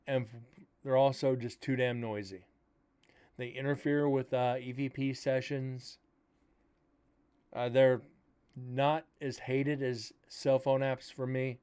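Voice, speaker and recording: A man of middle pitch whose loud voice carries far. He talks casually and bluntly as the host of a paranormal show, plainly explaining his gear and his strong opinions about it. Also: clear, resonant tone. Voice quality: loud, carrying voice. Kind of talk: casual commentary on old recordings.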